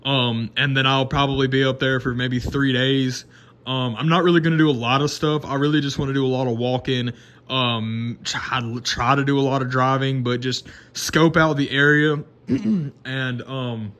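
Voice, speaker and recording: A man's voice: mid-range voice, a bit bright. A twenty-year-old man, talking casually to camera in a rambling, unscripted way full of restarts and fillers. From Mississippi, American South. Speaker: vlogger.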